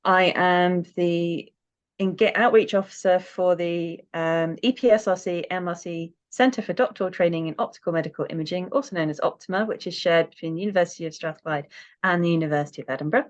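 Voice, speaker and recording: A woman's voice: fairly low pitch, brisk. Chatty southern English woman, lo-fi sound. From south coast of England. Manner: chatty and self-deprecating, with frequent "um"s. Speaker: podcast guest. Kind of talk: casual interview chat. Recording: dull, lo-fi remote audio.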